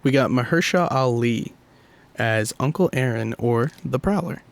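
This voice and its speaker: A man's voice: medium pitch, moderate pace. A young man co-hosting a podcast, chatty and teasing, steering the talk. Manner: chatty, leads the discussion, teasing. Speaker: podcast co-host. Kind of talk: casual chat.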